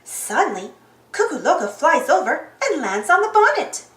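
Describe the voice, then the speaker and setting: A woman giving an expressive read-aloud narration of a storybook for children. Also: high voice, normal speed, roomy sound. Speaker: children's storybook channel reader.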